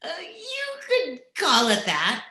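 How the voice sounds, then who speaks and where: A woman acting a chatty, artless maid in an old-time radio comedy. The high voice swoops wildly and takes its time, in a roomy recording. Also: wildly swooping pitch, slow, bright, forceful tone, full character voice. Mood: artless, curious. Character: scatterbrained, chatty, plain-spoken household maid.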